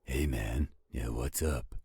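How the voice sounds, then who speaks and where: Man voicing a very raspy, deep, easygoing buddy. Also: slow, exaggerated voice. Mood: casual, concerned. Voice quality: very deep, dark, rough gravelly rasp. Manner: casual, laid-back buddy talk. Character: easygoing, concerned male friend, casual and relaxed. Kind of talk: roleplay audio drama acting.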